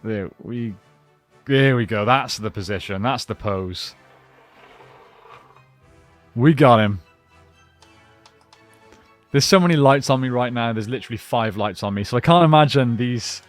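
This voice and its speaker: A man's voice: mid-range voice, unhurried, long pauses, soft background music. A man giving a casual unboxing and review, talking informally and thinking aloud as he goes. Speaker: toy reviewer and collector.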